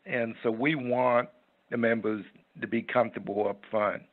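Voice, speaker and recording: Gravelly man on a tinny phone line. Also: medium pitch, fairly even intonation. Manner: halting, rambling, folksy. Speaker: interview guest, website owner. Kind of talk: unscripted interview answers.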